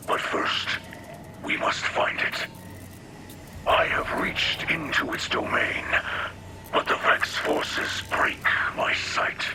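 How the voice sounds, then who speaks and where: A man voicing a solemn, devout alien mentor in a video game, with a breathy rasping whisper and formal, deliberate delivery. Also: a little high, very noisy. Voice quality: breathy, gravelly whispered rasp. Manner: slow, reverent, formal, deliberate. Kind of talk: scripted video game dialogue.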